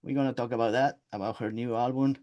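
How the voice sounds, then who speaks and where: A man's voice: mid-range voice, steady tone, fast, a little mellow, dry recording, dull audio. Hesitant male interview host. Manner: hesitant, many fillers. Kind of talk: casual interview.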